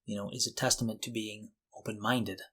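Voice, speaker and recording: A man's voice: lowish voice, little pitch movement, fairly quick, sharp tone, breathy edge. Middle-aged man chatting on a podcast. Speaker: podcast co-host. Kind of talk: casual conversational podcast chat.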